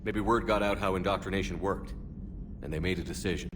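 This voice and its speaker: A man playing a steady, supportive, resolute military space commander in a video game, plain-spoken and direct. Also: sits low, brisk, background noise, natural voice. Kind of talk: scripted video game voice acting. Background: music.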